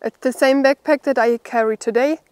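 A young woman from Germany with a German accent, a native German speaker, talking haltingly and unscripted as a bushcraft vlogger. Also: high voice, steady tone, a little mellow, clean tone, no echo. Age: young adult. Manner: halting, with pauses mid-sentence. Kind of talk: unscripted vlog talk to camera. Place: outdoors in a forest.

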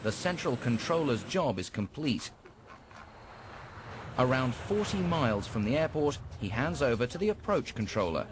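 A man's voice: mid-range voice, big pitch swings, moderate pace, noisy background. A man reading scripted narration for a television documentary. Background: music.

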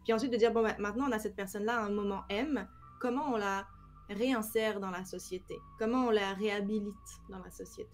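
A woman in her thirties from France, with a French accent and some Quebec expressions, explaining her work in a casual video-call interview with lively hand gestures. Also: mid-range voice, quick pace, faint music behind. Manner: animated, explains with many examples, gestures with hands. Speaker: cybersecurity trainer, guest. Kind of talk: casual interview on a live stream. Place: home room, indoors.

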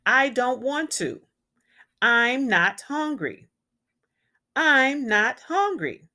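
A woman's voice: high voice, big pitch swings, drawn-out words, sharp tone, voice pushed up, exaggerated voice. A woman voicing an upset, stubborn young giraffe boy from a storybook, whining with emphatic protests. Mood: cranky.

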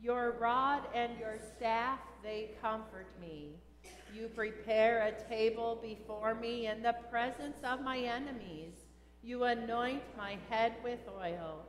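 An older woman, a pastor, reading solemn liturgy and scripture at a funeral in a measured, deliberate way. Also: a little high. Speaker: pastor leading a funeral service. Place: large church sanctuary, indoors. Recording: echoey church with long reverb.

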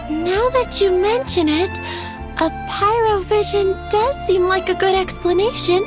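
Timid young townswoman, anime game: very high, slightly slow, dreamy and hesitant, music behind. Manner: wistful, hesitant. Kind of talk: anime video game voice acting.

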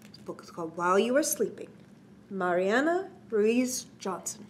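A woman's voice: mid-range voice, expressive, moderate pace. Woman doing kids story time, voice goes way up and down, asks lots of leading questions, bit of noise behind her. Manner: exaggerated questioning, prompting delivery. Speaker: children's story time host. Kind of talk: children's story time, talking to young listeners. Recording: clear background noise.

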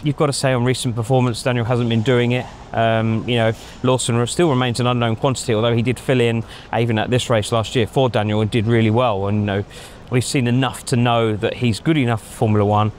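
A middle-aged man giving measured opinions in a casual outdoor chat, thinking aloud with frequent ums, on a lapel mic. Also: medium pitch, flat delivery, darkish tone, a little hiss or hum. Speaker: pundit. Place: outdoors in a racing paddock at night. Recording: faint outdoor street ambience.

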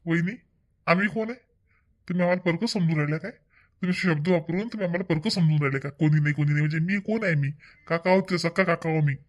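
A man plays a middle-aged uncle in a family cartoon, caring but easily hurt. His voice is high for a man, firm and repetitive, with a Varhadi Marathi accent. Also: quick pace, close to an everyday voice. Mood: hurt, indignant. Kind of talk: amateur animated family comedy acting.

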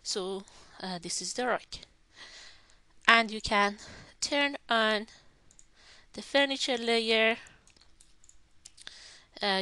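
Bright-voiced woman teaching a software tutorial. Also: a little high, no echo. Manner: step-by-step, talks while clicking, thinking aloud. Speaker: instructor. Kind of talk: screen-recorded software tutorial.

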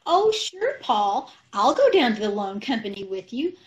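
A woman's voice: a little high, big pitch swings, moderate pace. A woman playing a scatterbrained, agreeable wife in an old-time radio comedy, ditzy and earnest, over a video call. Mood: chirpy, agreeable. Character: scatterbrained, agreeable wife who mangles sayings.

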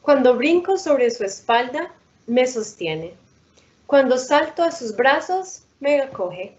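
A woman's voice: high-pitched, expressive, unhurried. A woman co-reading a children's picture book aloud for a storytime over a video call. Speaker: storytime co-reader. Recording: dull lo-fi video call audio.

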